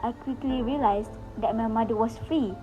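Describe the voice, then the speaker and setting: A woman's voice: a little high, normal speed, dull audio, music in the background, close to an everyday voice. A woman playing a grieving, tormented son in a stage play, with earnest, formal theatrical phrasing. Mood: sorrowful. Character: grieving, tormented son Heinrich mourning his mother.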